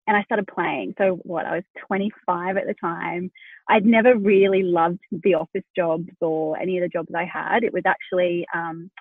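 A woman's voice: fairly low pitch, slightly rough, dry recording, narrow, tinny audio. A pregnant Australian woman in her thirties, a wedding photographer, chatting as a podcast guest with lots of fillers and restarts. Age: 30s. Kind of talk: casual interview chat.

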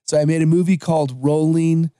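A man's voice: fairly high pitch, fairly even intonation, brisk, dark tone, no room sound, clean studio sound. A man in his forties from Los Angeles, a podcast guest telling his career story in an upbeat, conversational way with frequent restarts. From Los Angeles, USA. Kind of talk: conversational interview.

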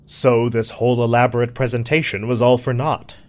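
An actor playing a pompous, eccentric scientist in an audio drama, speaking formally and with precise, theatrical diction. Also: male voice, mid-range voice, level tone, brisk, natural voice. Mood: grave, theatrical. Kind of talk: scripted audio drama acting.

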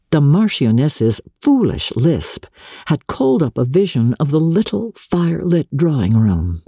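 Female literary narrator, husky and dark-toned, measured and theatrical, with deliberate pauses. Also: rumbling low, no echo, clean studio sound. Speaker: narrator, audiobook reader.

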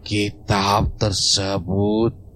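A man playing an old grandfather mystic healer who gives calm, grave counsel in an audio drama. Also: fairly low pitch. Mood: solemn. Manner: slow, solemn counsel. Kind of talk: amateur audio drama acting.

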